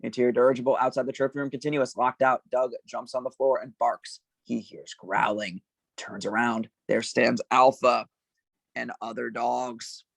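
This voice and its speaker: A man's voice: medium pitch, level tone, normal speed, gravelly, dry recording. Man narrating stage directions, dry sound. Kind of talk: scripted narration at a table read.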